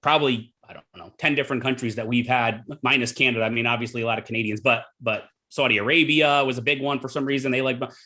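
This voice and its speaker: A man hosting an interview, asking relaxed questions full of fillers, over a video call. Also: mid-range voice, fast, hardly any pauses. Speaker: podcast host.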